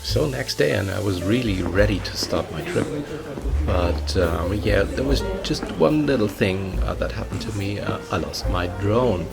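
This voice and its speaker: A man in his fifties with a German accent, narrating his travel vlog in a chatty way, often pausing with uh and um. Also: on the low side, expressive, gravelly. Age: 50s. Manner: chatty, pausing with many uh and um fillers. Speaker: travel vlogger. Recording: voice with music playing underneath.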